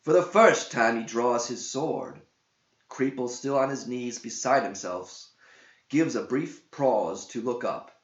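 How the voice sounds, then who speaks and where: A man's voice: medium pitch, takes it slowly. A man reading a verse epic aloud as a theatrical, declamatory storyteller. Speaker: narrator, author reading own verse epic. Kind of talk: dramatic scripted verse narration. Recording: dull roomy echoing home recording.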